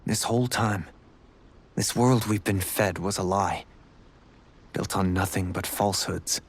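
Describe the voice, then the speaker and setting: An actor playing a calm, thoughtful noble lord who speaks in a measured, formal way. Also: male voice, low-pitched, slight background noise. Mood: earnest. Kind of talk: video game voice acting. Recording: game audio over music.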